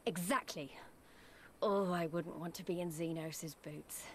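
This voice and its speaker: A woman's voice: on the low side, fairly even intonation, a little slow, a little hiss or hum, close to an everyday voice. An actress playing a confident, spirited young female fighter in a video game, speaking informally. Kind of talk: video game dialogue acting.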